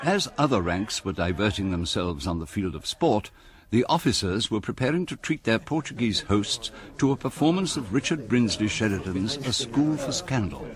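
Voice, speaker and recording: A man's voice: on the low side, animated, normal speed, a crowd in the background, close to an everyday voice. A man giving dry, formal scripted narration for a radio historical drama.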